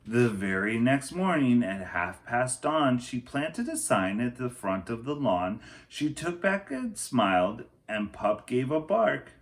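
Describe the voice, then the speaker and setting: Animated, unhurried man reading a storybook aloud. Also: mid-range voice. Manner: expressive, emphatic reading, dropping to softer asides. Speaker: teacher reading a picture book aloud. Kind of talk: storybook read-aloud with chatty asides to children.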